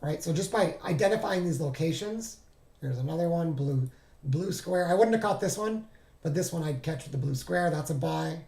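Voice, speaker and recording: A man hosting a trading walkthrough who talks casually and thinks aloud, halting and correcting himself, and is losing his voice. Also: on the high side, animated, vocal fry, darkish tone, echoey room. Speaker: trading streamer. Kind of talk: casual screen-share walkthrough.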